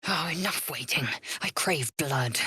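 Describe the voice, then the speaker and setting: Low-voiced, haughty alien warrior woman. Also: lively intonation, measured pace, bright, forceful tone, slight background noise, full character voice. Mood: scornful, commanding. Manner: clipped, imperious, scornful delivery. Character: fierce, proud githyanki warrior woman, haughty and blunt. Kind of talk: video game character voice lines.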